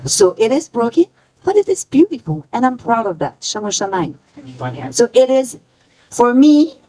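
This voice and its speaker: A woman speaking on a panel about the fashion brand she founded, halting and emotional, often searching for her words. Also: fairly high pitch, sing-song, dark tone, rough recording. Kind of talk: panel talk.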